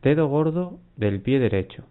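A man guiding a mindfulness body scan, giving unhurried instructions in short phrases with long pauses. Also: medium pitch, lively intonation, clean tone. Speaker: meditation guide. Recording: muffled, phone-like narrow band.

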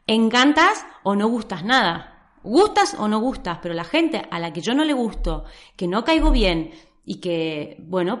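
A woman with a Córdoba Argentine accent speaks straight to camera. She talks in an animated, direct, encouraging way, with a mid-range voice. A few Spain Spanish words slip into her speech. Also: mid-range voice. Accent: Córdoba Argentine Spanish with some Spain Spanish words. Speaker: social media coach. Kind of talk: direct-to-camera advice vlog.

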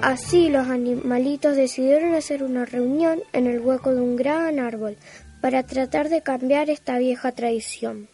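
A woman's voice: sits high, normal speed, mellow, soft background music. A woman narrating a scripted children's story.

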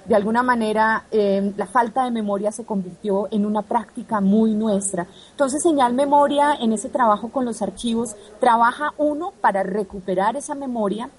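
A woman's voice: a little high, moderate pace, few pauses, low-quality recording. A Colombian woman answering interview questions in a didactic, explanatory way, laying out her points one by one. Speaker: radio archive representative being interviewed.

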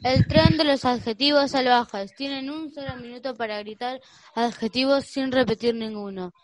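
A woman's voice: high-pitched, fairly even intonation, normal speed, lightly acted, poor audio. A woman acting a bossy schoolboy bully who announces cruel games like a game-show host in a school radio play. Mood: showy announcing. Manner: announcing, taunting.

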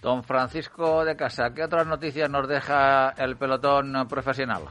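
A man's voice: medium pitch, little pitch movement, normal speed, fairly bright tone, faint music behind. A man hosting a cycling radio show, formal at the top and hesitant in later chat. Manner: hesitant, many restarts in chat. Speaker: radio host.

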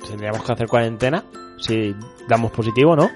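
A man's voice: mid-range voice, fast, soft background music. A man from Spain hosting a local radio show in casual banter, joking and laughing often, with a stuffy congested nose. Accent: Spanish (Spain). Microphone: studio microphone.

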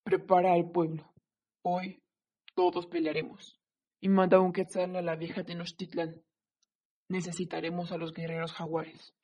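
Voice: medium pitch, little pitch movement, normal speed, darkish tone, natural voice. An actor playing a proud, commanding Aztec emperor in a radio drama, speaking in a declamatory, imperious way. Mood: grave, resolute.

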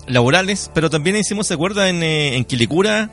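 A man's voice: a little high, animated, fast talker, fairly bright tone, soft background music. A man with a Chilean accent hosting a live radio interview, addressing his guest in a courteous, formal way. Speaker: radio host.

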